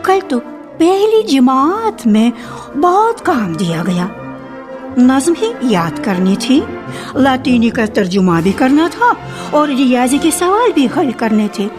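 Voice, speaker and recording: A woman's voice: high-pitched, sing-song, slow pace, exaggerated voice. A woman playing a doting, anxious motherly woman in an earnest, emotional radio drama style. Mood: fretful concern. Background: music.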